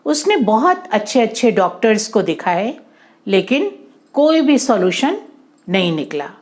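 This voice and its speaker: A woman narrating a moral story for children in an expressive, explanatory way, repeating and rephrasing her points and mixing in English words. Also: fairly high pitch, unhurried.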